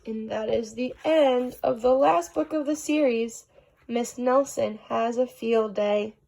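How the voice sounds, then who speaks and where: A woman's voice: fairly high pitch, takes it slowly, creaky, a little mellow. A woman reading a children's storybook aloud as a narrator.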